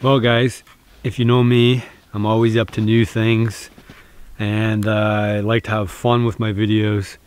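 A man's voice: fairly low pitch, little pitch movement, measured pace, smooth. A man in his thirties vlogging outdoors in a snowy forest, talking casually and encouragingly to camera with lots of fillers and restarts. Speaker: bushcraft vlogger.

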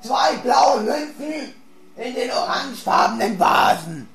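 A man's voice: extremely high pitch, big pitch swings, measured pace, a bit bright, shouting. A man clowning around in silly, casual gaming banter. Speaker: let's play co-commentator. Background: faint game music.

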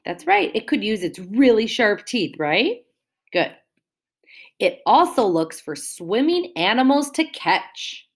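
A woman teacher reads a picture book aloud to young children, pausing to explain new words and ask them questions. She speaks slowly and patiently. Her voice swoops up and down in a lively, sing-song way. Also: medium pitch.

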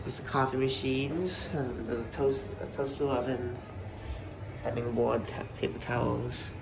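A man giving a casual, halting tour of a rental house, filming on a handheld phone as he walks indoors. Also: medium pitch, steady tone, vocal fry. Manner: rambling, halting, many fillers and restarts. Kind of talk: casual handheld walkthrough narration. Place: indoors in a rental house kitchen and living room. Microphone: phone camera held while walking. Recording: loud handling noise and clicks.

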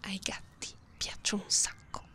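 A woman voicing an affectionate, playful catgirl in intimate ASMR roleplay, cooing and repeating words softly in a breathy, often whispered voice. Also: slow, lightly acted. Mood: soft, caring. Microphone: close binaural microphone. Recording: close binaural mic with clear noise.

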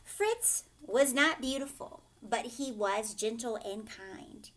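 A woman in her thirties reading a children's picture book aloud expressively, pausing to explain and ask questions like a teacher. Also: on the high side, lively intonation, fairly bright tone, slight background noise. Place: furnished living room, indoors.

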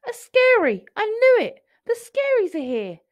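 Woman as a shy, high-voiced, excitable little alien. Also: a little slow, highs cut off, full character voice. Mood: terrified. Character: shy, neat, easily frightened male alien, excitable.

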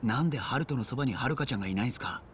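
Man playing a rough, earnest young gangster. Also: medium pitch, expressive, rapid-fire, slight background noise, close to an everyday voice. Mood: concerned. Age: young adult. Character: earnest, hot-headed young yakuza underling, loyal and blunt. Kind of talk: dramatic Japanese video game acting.

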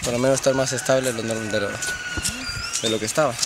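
A man's voice: mid-range voice, fairly even intonation, fast talker, very noisy. A man from Guatemala, interviewed as a migrant, answering briefly and matter-of-factly.

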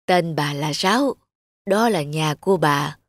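A woman voicing a poor, kindly old woman who speaks quietly and tenderly, in a cartoon. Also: deep voice, lively intonation, measured pace, dry recording, clean studio sound, pitched below the performer's own voice, exaggerated voice. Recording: music bed under voice.

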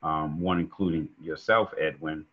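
A middle-aged man, chatting relaxed as a guest on a video call, with many fillers. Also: a bass voice, normal speed, slightly rough. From New York. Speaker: guest musician. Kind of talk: casual interview over video call. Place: home room indoors. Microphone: video call webcam. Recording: dull lo-fi call audio.

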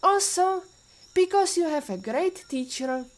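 An actress playing a patient, caring warrior mentor with a Russian accent and formal, instructive phrasing, in an audio drama. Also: female voice, very high voice, animated, unhurried. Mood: warm, teasing. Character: patient female warrior mentor, former Interpol agent, caring teacher.

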